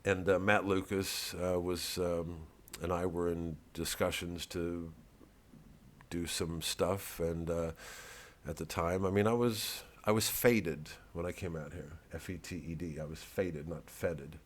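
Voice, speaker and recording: A man with an American accent and a lowish voice delivers a casual comedian's monologue. He wanders from one aside to the next and swears freely, as if chatting straight to his followers. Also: steady tone, a little slow, a little hiss or hum. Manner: rambling, digressive, profane. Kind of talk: casual vlog monologue to fans.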